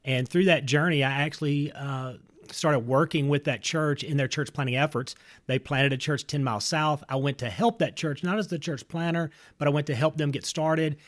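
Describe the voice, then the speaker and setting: A middle-aged man from North Mississippi, an earnest missionary recounting his path in a relaxed interview, with fillers and restarts. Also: fairly high pitch, normal speed. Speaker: missionary and church planter. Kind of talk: casual interview storytelling.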